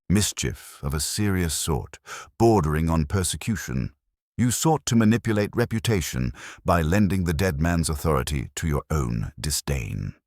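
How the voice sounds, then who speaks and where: A man voicing a mild, shrewd police inspector in a mystery story, soft-spoken and measured with an edge of finality. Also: deep voice, no echo, studio quality.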